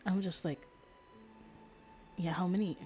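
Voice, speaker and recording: A woman's voice: on the low side, fairly even intonation, measured pace, mellow, faint music behind. A woman streamer chatting casually in a rambling, hesitant, wry way during gameplay. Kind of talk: casual livestream chat over gameplay. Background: video game dialogue.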